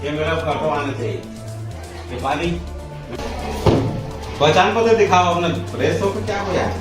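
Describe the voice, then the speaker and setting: A man's voice: a little high, measured pace, recorded from a distance. A drunk male school teacher talking back defiantly and repeating himself in a heated confrontation. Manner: defiant, challenging, repetitive. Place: inside a school. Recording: echoey, off-mic, music laid over.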